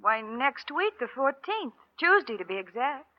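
A woman's voice: sits high, very animated, normal speed. A young woman playing a trusting, bewildered ranch heiress in an old-time radio western. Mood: puzzled. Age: young adult. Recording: dull vintage radio recording.

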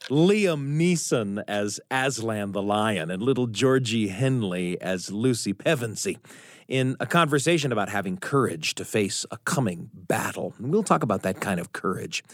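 Lively-voiced male radio host. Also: medium pitch, no room sound, studio quality. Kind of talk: scripted radio introduction.

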